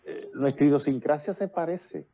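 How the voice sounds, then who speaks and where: A man's voice: a little high, normal speed, mellow. Guy in his 50s w puerto rican accent, talks on and on w lots of fillers, earphone mic on a call, muffled audio. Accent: Puerto Rican Spanish. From Puerto Rico. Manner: talkative, with many fillers and restarts. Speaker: playwright and actor, guest. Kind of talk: casual interview over video call. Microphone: earphones with built-in mic on a video call.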